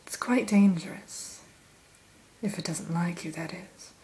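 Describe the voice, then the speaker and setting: Slow whispering woman, ASMR. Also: lowish voice, low-quality recording. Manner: soft whisper throughout; gentle, unhurried, mildly formal. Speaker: hatchery keeper in roleplay. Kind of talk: ASMR roleplay.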